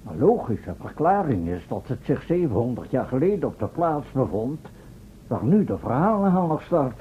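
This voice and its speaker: A man's voice: sing-song, moderate pace, lightly acted. A man playing an impatient, worried professor and inventor in a Dutch radio play, hesitating and mildly scolding. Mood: explaining. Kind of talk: Dutch radio drama acting. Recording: thin phone-like old radio recording, noisy, rumble.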